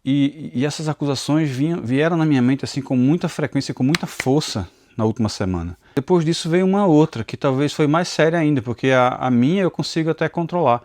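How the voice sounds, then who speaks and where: A man's voice: a little high, fairly quick, hardly any pauses, a little mellow, dry recording. Earnest man with a Recife accent. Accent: Recife, Brazil, mixed with inland Pernambuco. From Northeast Brazil. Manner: earnest and confessional. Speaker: Bible teacher and channel host. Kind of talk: personal devotional talk to camera. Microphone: phone camera.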